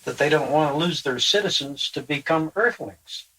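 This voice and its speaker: A man's voice: fairly high pitch, normal speed, pushed and bright, breathy edge, low-quality recording. A man in casual conversation, plain-spoken with fillers and asides. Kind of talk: casual chat.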